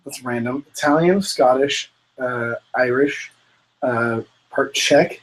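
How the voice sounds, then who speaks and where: A man's voice: mid-range voice, slow pace, low-quality recording. A young man from the United States, a podcast guest who rambles with lots of fillers. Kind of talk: casual chat.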